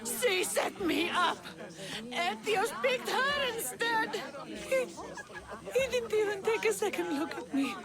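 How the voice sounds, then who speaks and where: A vain, wronged young woman in a video game, with a very high, shrill voice. She pleads melodramatically at a slow pace. A crowd murmurs behind her. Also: expressive, over loud music, heavily acted. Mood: outraged. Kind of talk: video game cutscene acting.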